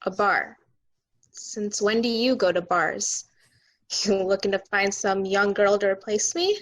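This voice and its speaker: A woman's voice: medium pitch, unhurried, lightly acted. A woman reading the part of a guarded, busy lawyer wife, curt and questioning, in a TV drama table read. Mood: questioning, suspicious.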